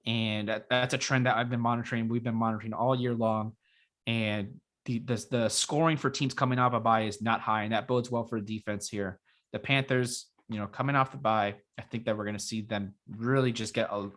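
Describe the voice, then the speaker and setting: A young man co-hosting a casual fantasy football show, his voice raspy from being sick, talking in a relaxed, rambling way with many fillers. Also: on the low side, brisk. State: raspy after illness. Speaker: podcast co-host. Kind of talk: casual sports chat.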